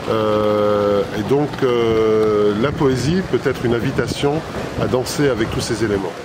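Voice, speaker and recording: A man's voice: on the low side, measured pace. A man in his fifties, a poet and publisher, answering interview questions thoughtfully with many hesitations and restarts. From Italy and Belgium. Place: city square outdoors, traffic nearby. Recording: outdoor street noise.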